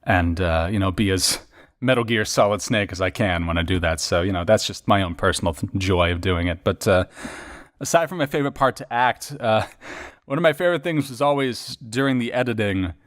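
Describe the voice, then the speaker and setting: A man's voice: lowish voice, fairly quick. Casual, rambling male podcast voice actor. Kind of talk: casual reflective chat about acting work.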